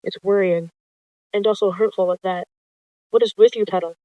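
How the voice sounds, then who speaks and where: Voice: mid-range voice, fairly even intonation, moderate pace, no echo, close to an everyday voice. A narrator voicing an insistent male warrior-cat deputy, lo-fi. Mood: frustrated, hurt. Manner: insistent, confrontational. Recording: dull lo-fi close dry recording.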